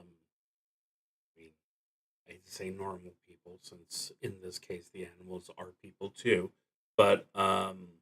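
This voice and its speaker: Slow, low-voiced man thinking aloud. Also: vocal fry, husky, rough recording. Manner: halting, deliberate, trailing off between thoughts. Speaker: solo tabletop roleplaying streamer. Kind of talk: thinking aloud while playing a solo roleplaying game.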